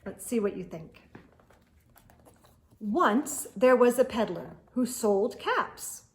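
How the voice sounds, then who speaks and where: A woman reading a picture book aloud to children as host, expressive and putting questions to young listeners. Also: fairly high pitch, takes it slowly.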